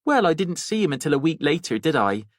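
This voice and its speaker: Guy doing a chatty local woman for an audiobook, higher pitch, rambles on about the neighbours. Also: very animated, fast, no echo, clean studio sound. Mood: fond, gossipy. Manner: talkative, rambling, conversational. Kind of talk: audiobook character voice.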